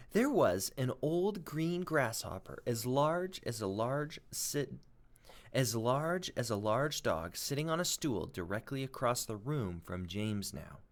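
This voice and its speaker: A man reading aloud as a teacher, expressive and dramatic, with emphatic pauses. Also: medium pitch. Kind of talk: expressive storybook read-aloud and lesson.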